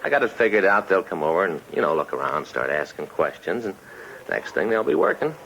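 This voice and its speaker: An American actor playing a chatty, folksy police detective's partner in a 1950s radio drama. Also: male voice, sits low, sing-song, a little fast, vocal fry, a bit bright, heavily acted. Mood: earnest, chatty. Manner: folksy, conversational. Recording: muffled 1950s radio recording, faint hiss.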